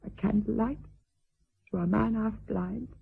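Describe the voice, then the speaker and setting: A thin, phone-like radio drama recording of a vulgar, tipsy lady of middle age. Her voice is gravelly and very raspy, and she declaims with coarse bluntness and wounded vanity. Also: medium pitch, steady tone, a little slow, full character voice. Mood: maudlin. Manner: declamatory, swinging between coarse bluntness and maudlin grandeur. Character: vulgar, boozy, blowsy lady mistress, vain yet fearful. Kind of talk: theatrical radio drama acting.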